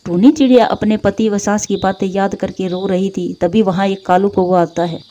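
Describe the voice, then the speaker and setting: A woman's voice: medium pitch, steady tone, normal speed, mellow. A woman reading scripted narration for a Hindi cartoon story.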